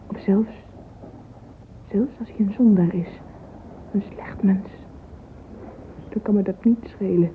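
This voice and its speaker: A woman's voice: medium pitch, close to an everyday voice. An actress playing a dreamy, yearning, romantic young woman in a radio drama monologue. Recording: thin phone-like old radio recording, noisy.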